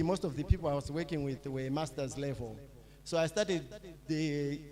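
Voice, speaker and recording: Halting middle-aged man giving a lecture. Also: on the high side, a little mellow. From Zimbabwe. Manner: halting, with many fillers and restarts. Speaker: lecturer, associate professor. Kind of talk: public lecture.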